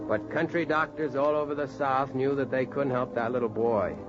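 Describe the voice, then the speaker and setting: An actor playing a plain-spoken, heartfelt American storyteller in 1940s radio-drama style, with a folksy, emphatic manner. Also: male voice, mid-range voice, steady tone, moderate pace, music in the background, lightly acted. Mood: grave. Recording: noisy, dull 1940s radio recording.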